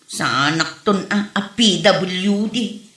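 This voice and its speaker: A woman's voice: mid-range voice, takes it slowly, fairly bright tone. A woman playing a naive, stubborn, nagging wife in a comedy drama, with an Ilocano accent. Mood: puzzled. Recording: echoey room.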